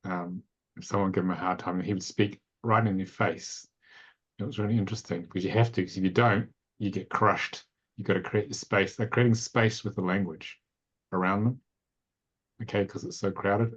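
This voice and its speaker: A man's voice: low voice, brisk, slightly rough, highs cut off. A man leading a training session, chatting expressively and telling stories on a video call. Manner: expressive, talkative, anecdotal. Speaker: course instructor. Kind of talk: casual teaching chat on a video call.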